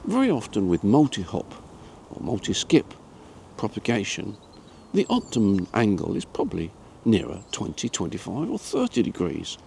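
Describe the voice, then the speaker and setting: An elderly British man explains things informally to the viewer, like a patient instructor. His voice sits fairly low, with a gravelly creak and lively rises and falls in pitch. He takes a measured, didactic approach. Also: a little hiss or hum. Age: 70s. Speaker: amateur radio presenter. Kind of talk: informal explanatory lesson to camera. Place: small home studio room.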